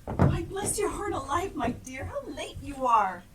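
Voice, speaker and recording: A woman's voice: sits high, big pitch swings, measured pace. A woman playing an affectionate, fussing mother of a poor family in a live stage play, speaking theatrically. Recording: echoey stage, distant mic, clear noise.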